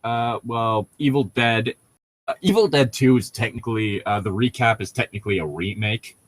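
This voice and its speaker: A man's voice: mid-range voice, moderate pace, dry recording. A man co-hosting a podcast, chatting casually and jokingly and rambling into tangents as he corrects himself. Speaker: podcast co-host commenting on a book. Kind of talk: casual comedic chat.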